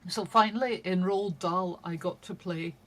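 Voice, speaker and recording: A woman's voice: on the low side, moderate pace, a bit bright, poor audio. Older woman telling wry, playful stories. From Shetland. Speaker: guest, crime novelist. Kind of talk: casual interview chat.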